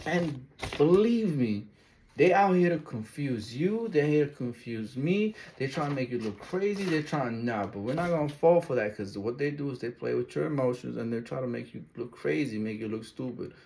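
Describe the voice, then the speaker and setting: A man's voice: on the high side, animated, normal speed, vocal fry, a little mellow, slight background noise, low-quality recording. Emphatic man from Ecuador reacting casually. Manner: emphatic, repeats key words, street-casual phrasing. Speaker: video reactor.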